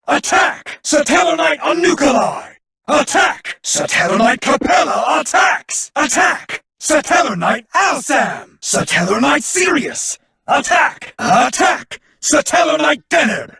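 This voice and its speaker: A noble warrior of an otherworldly realm speaks in a gravelly voice that swings widely in pitch. He is loyal and solemn, delivering formal, earnest declarations in the grand style of an anime card game. His tone is high for a man and rough around the edges. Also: sharp tone, exaggerated voice. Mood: fierce battle cries.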